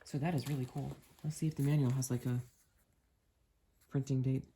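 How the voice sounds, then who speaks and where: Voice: medium pitch, flat delivery, brisk, mellow, breathy edge. A vlogger thinking aloud in a casual, musing way while demonstrating a gadget hands-on. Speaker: vlogger reviewing a radio. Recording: noisy home video, radio static behind. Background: shortwave radio hiss and whining.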